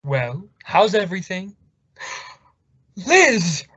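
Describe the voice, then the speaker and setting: A man's voice: high voice, sing-song, extremely slow, bright, forceful tone, husky, dry recording, heavily acted. A man playing a jovial, gossipy, conspiratorial friend and neighbour in an old-time radio sitcom, hearty and wry. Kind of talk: 1940s radio sitcom acting. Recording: dry, muffled old-time radio style recording.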